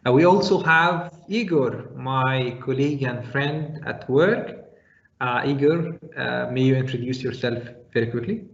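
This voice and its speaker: A man hosting an online tech meetup over a video call, speaking quickly with frequent fillers. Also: mid-range voice. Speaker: meetup host. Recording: dull echoey video call audio.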